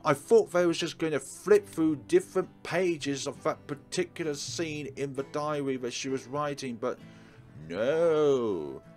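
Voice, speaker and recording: A man's voice: a little high, a little slow, darkish tone. A man hosting a let's play, reading visual novel narration aloud and adding casual commentary. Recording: game music behind the voice. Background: visual novel soundtrack.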